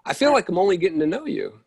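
A man's voice: high-pitched, creaky, darkish tone, breathy edge, full character voice. A man reading the part of an impatient character who loses patience easily and needs his coffee, in a staged play reading. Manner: exasperated, quick to lose patience. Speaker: reader in a readers theatre play.

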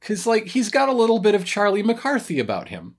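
A woman's voice: mid-range voice, huge pitch swings, a little fast. A woman co-hosting a podcast in casual, comedic recap chat, jokey and prone to puns and chuckling asides. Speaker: podcast co-host.

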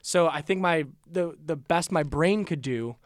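A man with a slightly high voice talks as a guest on a comedy podcast. He tells stories casually and animatedly, acting out his own thoughts. The sound is close and very dry. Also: unhurried, dry recording.

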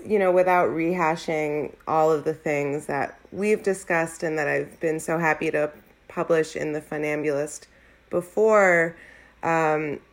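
A woman in her thirties with an American accent, an academic guest answering reflectively in a measured way with frequent pauses. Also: low-pitched. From United States.

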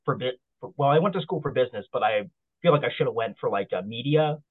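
A man from Nova Scotia, a comedian talking in an interview, rambling and halting with many fillers. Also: medium pitch, lively intonation, slightly rough. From Nova Scotia, Canada. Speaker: comedian guest. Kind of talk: casual interview. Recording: tinny phone line.

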